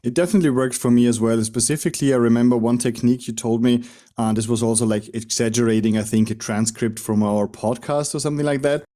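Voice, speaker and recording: A man's voice: medium pitch, steady tone, quick pace, mellow. A podcast host, a man with an Austrian German accent who speaks English as a second language, chatting reflectively with his guest. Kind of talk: conversational interview.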